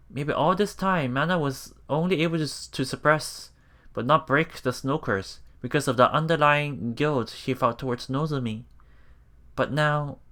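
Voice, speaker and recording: A man's voice: mid-range voice, a bit bright. A man narrating a visual novel aloud for a let's play, reading expressively and earnestly. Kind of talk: dramatic reading of visual novel text with live reactions.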